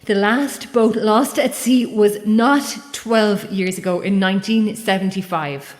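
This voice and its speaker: An actress playing an anguished, defiant, guilt-ridden lighthouse keeper in a horror audio drama. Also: female voice, mid-range voice, measured pace, reverberant, in a large hall, natural voice. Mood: weary confession.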